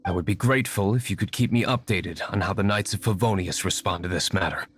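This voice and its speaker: An actor playing a stern, composed nobleman in a video game, speaking in a calm, menacing way. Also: deep voice, fast talker, lightly acted. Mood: cold. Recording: game audio with music behind.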